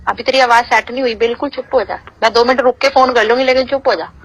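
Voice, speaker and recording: A woman's voice: on the high side, fairly even intonation, fast talker. A woman in an emotional private argument on a recorded phone call, scolding and giving insistent commands.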